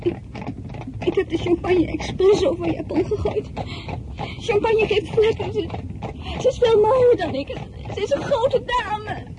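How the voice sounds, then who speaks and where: A woman playing a naive, proud fifteen-year-old French merchant's daughter in a Dutch drama, upset and halting in an emotional, girlish way. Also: extremely high pitch, background noise. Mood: upset, ashamed. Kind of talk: Dutch radio drama acting.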